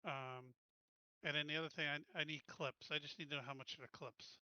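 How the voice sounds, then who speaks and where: A man's voice: a little high, steady tone, a little fast, pushed and bright, no room sound, highs cut off. A man taking part as a player in an online tabletop game, talking casually.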